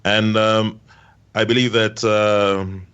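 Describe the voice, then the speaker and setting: A man's voice: fairly low pitch, little pitch movement, slow, fairly bright tone, clean tone. A man being interviewed as a guest on a podcast, answering hesitantly with many ums. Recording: dull lo-fi sound.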